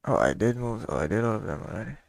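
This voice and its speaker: A man's voice: fairly low pitch, expressive, rapid-fire, vocal fry, no echo. A young man giving casual, slangy gameplay commentary in a laid-back way. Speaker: game streamer.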